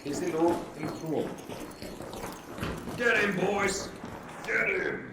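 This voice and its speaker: A man's voice: high voice, animated, slow. A man playing an online game over voice chat, calling out urgently and repeating himself. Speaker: fellow game player. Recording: roomy, noisy game voice chat.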